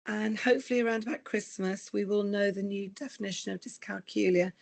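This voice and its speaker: A woman with a croaky voice from recent illness, giving an earnest, explanatory online presentation. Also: mid-range voice, steady tone, a little fast, darkish tone. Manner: earnest, explanatory, asks for slide changes. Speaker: guest presenter.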